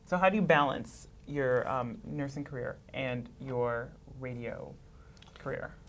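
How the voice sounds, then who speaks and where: A woman's voice: a bass voice, measured pace. A woman hosting an interview show, asking questions in a casual, playful, inquisitive way.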